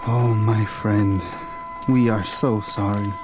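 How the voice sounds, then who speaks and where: An actor playing a compassionate, urgent villager in a radio drama. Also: male voice, lowish voice, level tone, slow pace, over music. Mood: sympathetic. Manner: earnest, sympathetic. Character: compassionate, urgent Mexican villager rallying neighbours.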